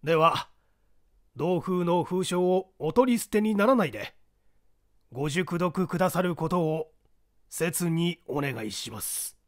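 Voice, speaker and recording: Male reader as solemn, polite letter writer in mystery audiobook: high voice, highly animated emphasis. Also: unhurried. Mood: earnest, formal.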